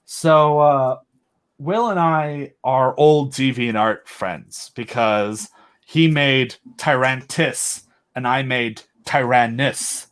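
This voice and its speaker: An eager man chatting as a podcast guest. He rambles with enthusiasm, stacking up fillers and false starts as ideas tumble out, and his voice sits at an ordinary middle pitch. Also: takes it slowly, a bit bright, smooth. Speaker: podcast guest and audio drama creator. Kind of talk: casual conversational chat.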